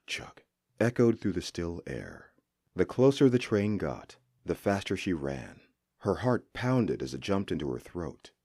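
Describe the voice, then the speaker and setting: A man reading a horror story as a scripted narration, with suspenseful, expressive delivery. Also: deep voice, no echo, clean studio sound.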